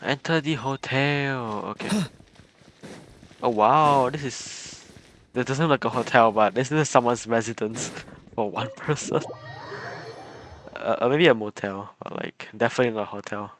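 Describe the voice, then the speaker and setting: A man's voice: medium pitch, normal speed, fairly bright tone, a little hiss or hum. A man giving live gameplay commentary as a streamer, often stammering and repeating his words over game music. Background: video game music.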